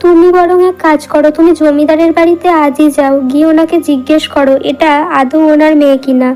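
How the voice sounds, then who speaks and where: Woman voicing high-voiced scheming village mother-in-law. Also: little pitch movement, fairly quick, dark tone, faint music behind, close to an everyday voice. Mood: insistent. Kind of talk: Bengali moral-tale cartoon dubbing.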